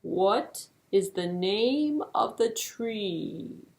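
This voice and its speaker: A woman voicing a patient, determined tortoise in a puppet-show tale, drawing out words slowly and deliberately. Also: mid-range voice, lively intonation. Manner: slow drawn-out deliberate speech. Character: slow, patient, determined tortoise, unhurried and persistent.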